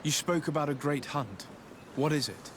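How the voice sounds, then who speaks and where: A man's voice: on the high side, expressive, moderate pace, noisy background, natural voice. A man playing an earnest, courteous and resolute young nobleman envoy in a video game.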